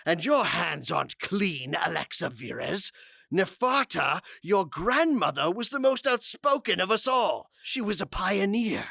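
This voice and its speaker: High, gravelly, haughty vampire lord. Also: male voice, sing-song, unhurried, pushed and bright, no echo, heavily acted. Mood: indignant. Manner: haughty, impassioned, theatrical delivery. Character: proud, defiant male vampire clan leader. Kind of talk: dramatic audio fiction acting.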